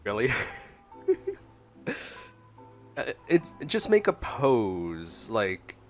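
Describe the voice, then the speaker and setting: Casting: man as laid-back gameplay commentator, fairly deep, slow and halting, thinking out loud over soft game music. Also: huge pitch swings. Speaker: gameplay streamer. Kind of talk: casual gameplay commentary, reading dialogue choices.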